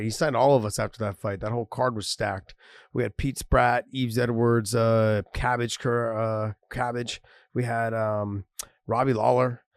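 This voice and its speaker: A man in his forties, a former fighter co-hosting a casual sports podcast, laid-back and quick to laugh. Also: medium pitch, clean tone, no room sound.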